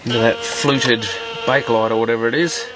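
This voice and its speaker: A man's voice: medium pitch, steady tone, brisk. A man giving casual hands-on repair commentary, muttering and thinking aloud as he works. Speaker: electronics repair hobbyist presenting a teardown. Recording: clear background noise with clicks.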